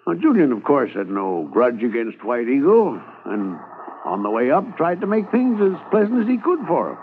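Male narrator, 1950s radio drama, lively pitch, lo-fi phone-like sound. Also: normal speed, slight background noise. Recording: tinny old radio transcription.

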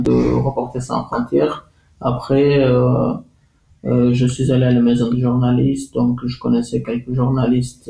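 Gravelly-voiced man with a Kurdish accent. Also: mid-range voice, mellow, roomy sound, low-quality recording. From Iraqi Kurdistan. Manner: halting, many hesitations and restarts. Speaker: interviewee, former journalist. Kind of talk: testimony interview.

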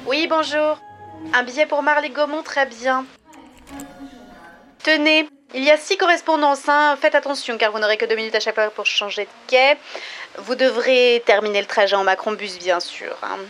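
High-pitched officious ticket clerk. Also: female voice, fairly bright tone, faint music behind. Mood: brisk. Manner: brisk, officious. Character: brisk, officious female railway ticket clerk.

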